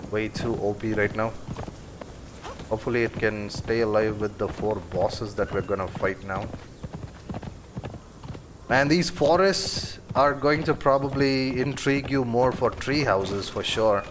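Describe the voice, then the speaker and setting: Slow, rambling male game streamer. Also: lowish voice, background noise. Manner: unhurried, rambling, many fillers. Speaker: gameplay streamer. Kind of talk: casual live-stream commentary.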